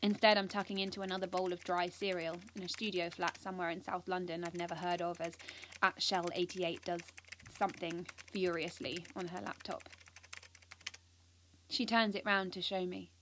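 A woman's voice: lowish voice, level tone, normal speed, a bit bright, a little hiss or hum, lightly acted, poor audio. A woman playing a restless, defiant teenage schoolgirl, telling her story intensely in a first-person audio drama monologue. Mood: weary, dazed. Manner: intense, urgent, confiding, swearing.

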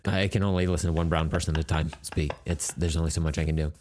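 A man's voice: rumbling low, fairly even intonation, quick pace, a little mellow, slight background noise. A man co-hosting a podcast in casual chat, rambling and self-deprecating with jokes. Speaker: podcast co-host.

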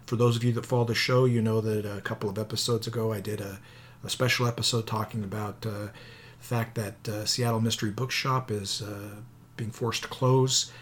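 A man hosting an interview podcast about books, relaxed and hesitant, with frequent filler sounds. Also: fairly low pitch, fairly quick. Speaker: podcast host.

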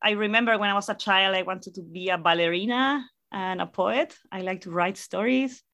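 Female guest scientist, 30s, Chilean accent, bright tone, reflective chatty delivery. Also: mid-range voice, moderate pace. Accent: Chilean Spanish. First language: Spanish. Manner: warm, reflective storytelling with fillers. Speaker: guest astrophysicist. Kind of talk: casual interview answers.

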